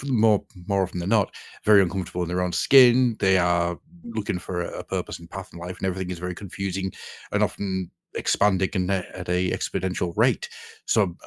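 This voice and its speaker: A man's voice: sits low, fairly even intonation, brisk, no room sound. A man hosting a podcast in a casual, conversational style. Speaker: podcast host. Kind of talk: casual chat.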